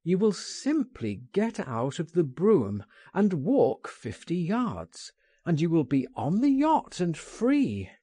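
Man acting bold, insistent gentleman, animated pitch. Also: a little slow, slightly rough, no room sound, clean studio sound, heavily acted. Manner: insistent, persuasive, emphatic. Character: bold, impatient gentleman urging his friend to flee.

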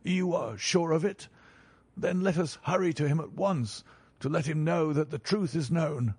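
A man reading a story, voicing a distraught elderly male banker who pleads in a higher, quavering voice. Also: a little slow, a little mellow, breathy edge, no echo. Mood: anxious.

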